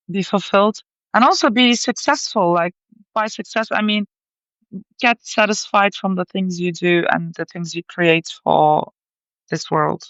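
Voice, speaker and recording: A woman from Belgium telling her story as a podcast guest, animated and chatty, with lively asides. Her voice comes through a little dull and muffled, in a dry, close space. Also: medium pitch, smooth, dry recording. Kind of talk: conversational interview.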